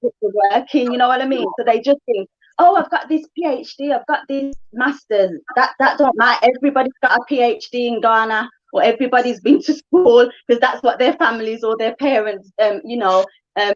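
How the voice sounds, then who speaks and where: A woman's voice: a little high, a little fast, dull audio. A woman, a livestream guest, talks casually over a remote call, with lots of fillers and asides. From England. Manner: chatty, frequent fillers and asides.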